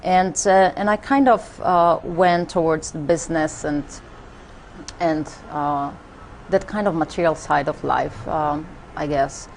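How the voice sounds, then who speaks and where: A woman from Poland with a Polish accent, a spiritual teacher talking in an interview, halting with many fillers and restarts. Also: sits low, vocal fry, slight background noise. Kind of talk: interview conversation.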